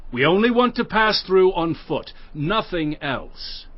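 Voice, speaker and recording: Earnest ancient envoy, audio Bible drama: high-pitched, declamatory, slightly dull dry sound. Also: male voice, lively intonation, takes it slowly, dry recording, exaggerated voice. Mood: earnest appeal. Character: earnest male Israelite envoy pleading for passage.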